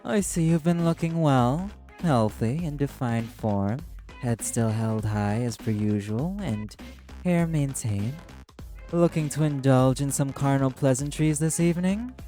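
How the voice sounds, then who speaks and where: A man voicing a flamboyant, courtly arch-demon casino owner in an audio roleplay, theatrical and drawn-out, flattering with a predatory charm. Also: medium pitch, soft background music, voice pushed up, natural voice. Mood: silky, teasing.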